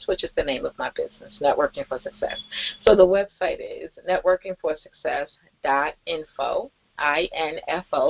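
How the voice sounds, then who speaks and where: A woman giving an informal, rambling promotional talk as host, with halting, emphatic delivery and pauses mid-sentence. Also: sits low, creaky, no echo, dull audio. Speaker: network marketing promoter and host.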